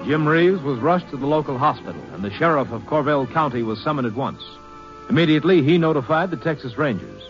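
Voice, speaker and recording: A man giving formal, measured scripted narration for an old radio drama. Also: fairly high pitch, lively intonation. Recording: dull lo-fi old radio recording with music.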